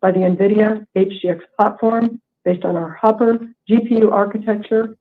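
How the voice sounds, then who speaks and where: A woman's voice: medium pitch, fairly even intonation, slight background noise. Woman reading formally over a tinny phone line. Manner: formal, measured reading of prepared remarks. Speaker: company executive presenting quarterly earnings. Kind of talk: scripted corporate earnings call presentation. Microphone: conference call line. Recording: tinny, narrow phone-line conference call.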